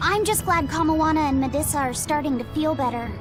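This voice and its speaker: A woman voicing a gentle, polite, studious young boy in a video game, speaking softly and earnestly. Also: high-pitched, fast, over music, lightly acted. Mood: cheerful. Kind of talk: animated video game dialogue.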